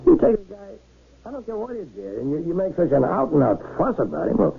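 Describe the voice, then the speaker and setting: Radio drama casting: plain-spoken, self-deprecating small-town mayor, highish gravelly voice, lively swings in pitch. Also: male voice, fast talker, narrow, tinny audio, crowd noise, exaggerated voice. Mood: modest, matter-of-fact. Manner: folksy, wry, self-deprecating. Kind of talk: old-time radio drama acting. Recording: band-limited, noisy radio drama recording.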